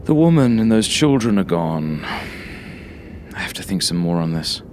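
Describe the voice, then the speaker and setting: Male horror narrator, lowish pitch, very unhurried, measured and dramatic, slight background noise. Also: sing-song, darkish tone. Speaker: author reading own horror story. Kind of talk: scripted first-person horror narration.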